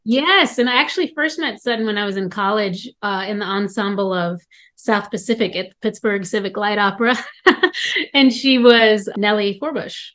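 A woman from Ohio, a stage actress and singer, chatting as a podcast guest. Her voice is slightly raspy and hoarse from a cold, and she tells stories and laughs easily. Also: mid-range voice, normal speed, fairly bright tone. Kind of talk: casual interview chat.

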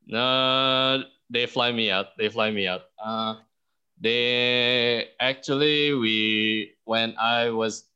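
Young man from Indonesia, guest storyteller, animated; bright, tense tone, dull recording. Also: mid-range voice, drawn-out words, smooth. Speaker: podcast guest. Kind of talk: storytelling in an interview.

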